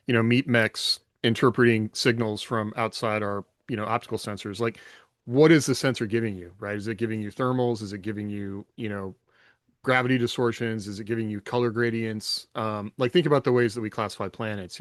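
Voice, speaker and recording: A man's voice: lowish voice, fairly even intonation, brisk, no room sound, studio quality. A man in a casual podcast panel discussion, explaining his thinking reflectively and often checking in with rhetorical questions.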